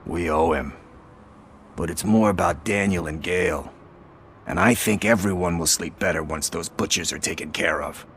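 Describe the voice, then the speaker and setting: A man's voice: low-pitched, moderate pace, fairly bright tone, slight background noise. An actor playing a streetwise male informer, sardonic and chatty, talking in casual slang with a wry edge. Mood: confiding. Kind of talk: video game dialogue acting.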